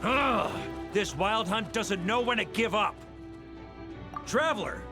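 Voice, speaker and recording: A man's voice: sits high, expressive, slow pace, music in the background. An actor playing a bold knight grandmaster who rallies his troops in a video game. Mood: exasperated. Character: bold male knight grandmaster, rallying battle commander.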